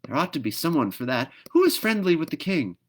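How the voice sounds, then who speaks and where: A man voicing a bossy, irritable swallow in a storybook, brisk and insistent. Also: on the high side, big pitch swings, pitched above the performer's own voice. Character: bossy irritable swallow, self-styled legal expert. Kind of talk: storybook read-aloud character voice.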